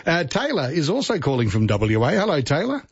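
A man's voice: on the high side, wildly swooping pitch, brisk, husky, no room sound, highs cut off. A man hosting talkback radio, chatting with callers and his panel. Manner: chatty, takes calls, warm teasing. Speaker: radio host.

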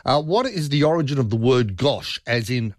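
An Australian man hosts an evening talk radio show, reading texts and moving things along. His delivery is lively, his tone chatty and brisk. The studio sound is dry and close, with no room around it. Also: medium pitch, dry recording. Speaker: radio host. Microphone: studio microphone.

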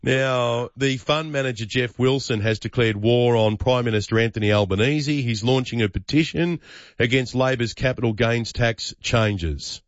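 An Australian man hosting radio, reading listener messages and bantering with callers in a brisk, joking way. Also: medium pitch, no echo, lo-fi sound. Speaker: radio host. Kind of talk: breakfast radio chat.

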